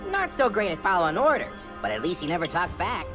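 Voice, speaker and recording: A woman's voice: on the low side, sing-song, quick pace, music in the background. A woman voicing a small, boastful but good-hearted male wanderer in a game, talking in a casual, folksy swagger. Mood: cocky. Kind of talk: video game cutscene acting.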